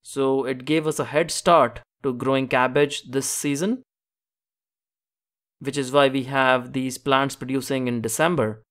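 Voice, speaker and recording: A man's voice: mid-range voice, steady tone, measured pace, fairly bright tone. A man hosting a gardening show, narrating an instructional tour in an enthusiastic, explanatory way. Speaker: host of a home gardening show.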